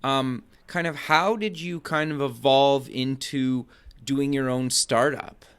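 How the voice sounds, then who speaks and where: A man's voice: medium pitch, fairly even intonation, slow, a bit bright, smooth. A man hosting an interview show, conversational and inquisitive, with loosely hedged phrasing. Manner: hedging, inquisitive, says "kinda" often. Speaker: podcast and radio show host.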